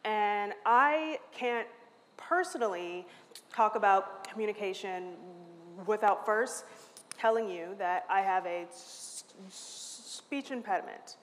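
Slow-spoken woman who stutters, in a hall. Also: medium pitch, slight background noise. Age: 30s. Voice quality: stutters, with repeated sounds and restarts. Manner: candid and self-mocking. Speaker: conference speaker. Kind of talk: lightning talk. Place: large hall, standing at a lectern on stage. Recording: long reverb from a large hall.

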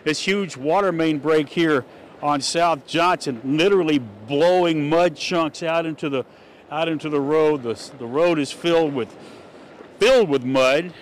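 An older man doing a rambling, conversational live news report from a flooded street at night, speaking into a handheld mic. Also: a little high. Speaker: field reporter. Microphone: handheld station mic. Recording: faint street noise outdoors.

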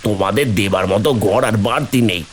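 A man's voice: lowish voice, fast, slight background noise, close to an everyday voice. A man playing a mild, henpecked younger brother in a cartoon, placating and evasive. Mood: reluctant. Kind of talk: cartoon fairy-tale voice acting.

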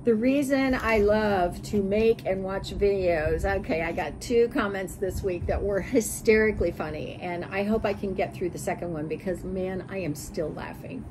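An American woman in her sixties chatting casually to camera and reading out comments in an expressive, giggly manner. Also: medium pitch, moderate pace, few pauses, background noise. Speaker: sewing vlog host. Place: bright curtained porch or sunroom.

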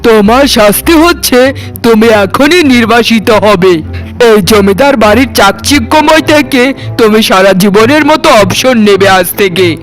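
Man playing a proud high-pitched ageing landlord father. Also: animated, normal speed, fairly bright tone, faint music behind, rough recording. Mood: offended, stern. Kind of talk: melodramatic cartoon story acting.

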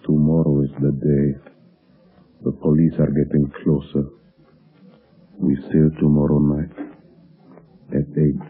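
An actor playing an oily, smugly scheming foreign smuggler in a 1930s radio drama. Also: male voice, rumbling low, monotone, measured pace, long pauses, faint music behind. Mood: conspiratorial. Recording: muffled 1930s radio broadcast.

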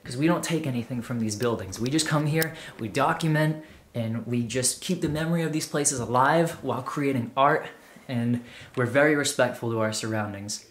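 A man's voice: mid-range voice, normal speed. A man in his twenties doing casual vlog narration as he explores indoors, musing and wondering aloud. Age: 20s. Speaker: urban explorer vlogger. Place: indoors, rooms of an abandoned house. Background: music.

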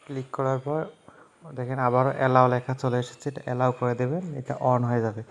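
Even-toned man giving instructions. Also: medium pitch, quick pace. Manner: instructional, repetitive step-by-step phrasing. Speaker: tech tutorial host. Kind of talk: step-by-step phone app tutorial narration.

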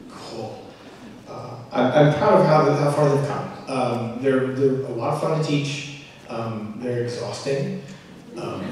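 A young male orchestra teacher giving rambling, self-deprecating remarks on stage, halting with fillers. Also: medium pitch, a little hiss or hum. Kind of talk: casual remarks to an audience. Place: large school auditorium stage. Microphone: handheld mic, far camera. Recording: echoey hall from far off.

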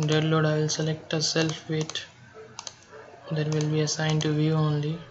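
A man narrating a step-by-step software tutorial in short, halting phrases, as an instructor. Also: on the high side, completely flat delivery. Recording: dull lo-fi mic, keyboard clicks, noise.

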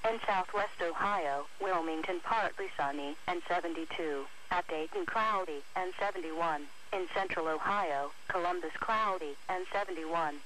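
A woman's voice: lowish voice, moderate pace, few pauses, a bit bright. A synthetic woman's voice reading a scripted weather bulletin as an automated announcer over the radio. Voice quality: synthetic text-to-speech voice. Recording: noisy weather radio broadcast recording.

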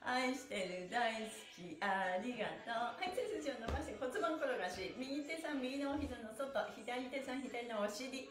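A woman's voice: fairly high pitch, moderate pace, hardly any pauses, echoey room, over music. A middle-aged woman instructor leading a live stretching class, cheerful and encouraging, chanting rhythmically as she moves. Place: small bare indoor room, seated on a mat. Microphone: camera or phone a couple of metres away.

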